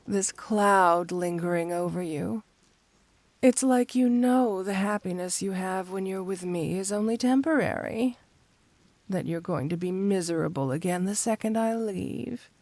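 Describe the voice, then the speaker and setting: A woman playing a flirty, seductive cat-burglar supervillain in an intimate ASMR roleplay, coaxing and teasing in an unhurried way. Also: on the low side, a little mellow, no room sound, exaggerated voice. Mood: tender, earnest.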